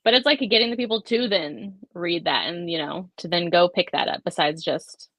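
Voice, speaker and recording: A woman's voice: on the low side, normal speed, a bit bright, no room sound. A woman co-hosting a casual livestream chat, conversational and opinionated, often checking in with "you know". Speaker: livestream co-host. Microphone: video call livestream.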